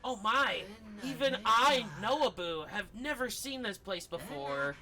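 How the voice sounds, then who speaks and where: A streamer voicing an eager, fawning little robot shopkeeper from a video game, excitable and anxious to help. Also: medium pitch, takes it slowly. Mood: eager, obliging. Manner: excitable, servile, helpful.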